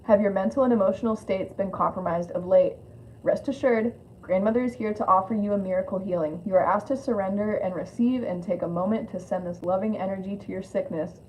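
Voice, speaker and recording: A woman's voice: medium pitch, fairly even intonation, fairly quick, darkish tone. A woman with a lisp giving a spiritual card reading on a live stream, moving between reading aloud and informal, rambling chat. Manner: informal, rambling asides with swearing. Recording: dull lo-fi audio with clear noise.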